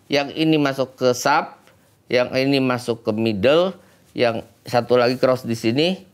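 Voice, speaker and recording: A man's voice: mid-range voice, unhurried. A man teaching audio basics in a casual, joking way, repeating key words for emphasis. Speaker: guest audio expert and teacher. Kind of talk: casual educational talk with jokes.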